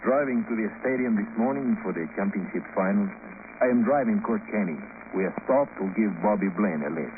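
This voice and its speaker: An actor playing a fat, jovially bitter South American ex-tennis champion turned chauffeur in a 1950s radio drama, with a Latin accent and halting English punctuated by chuckles. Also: male voice, lowish voice, lively intonation, close to an everyday voice. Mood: sly, insistent. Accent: foreign, Latin. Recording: muffled, noisy 1950s radio recording.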